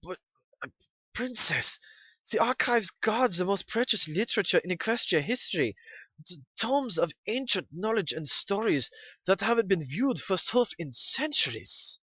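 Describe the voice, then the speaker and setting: A man's voice: high voice, very animated, moderate pace, pushed and bright, husky, no echo, heavily acted. A man playing an earnest, bookish male pony researcher in an audio drama, polite, deferential and a little hesitant. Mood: flustered. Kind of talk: scripted audio drama acting.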